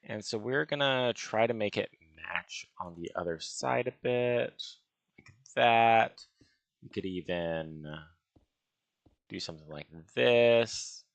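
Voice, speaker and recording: A man with a gravelly voice giving casual gaming commentary as he builds, musing and hesitating as he talks to himself. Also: medium pitch, slow pace, no room sound. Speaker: gaming video host. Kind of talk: casual Minecraft building commentary, thinking aloud.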